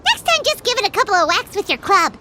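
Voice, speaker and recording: Tiny, bossy, excitable fairy. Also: female voice, very high voice, quick pace, very noisy. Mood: blunt reassurance. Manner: blunt, pushy, exclamatory. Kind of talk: anime game voice acting.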